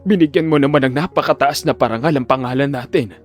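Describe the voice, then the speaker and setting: A man's voice: mid-range voice, fast, faint music behind, lightly acted. A man voicing a proud, humble working-class father in an audio drama, heartfelt and earnest. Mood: proud, moved.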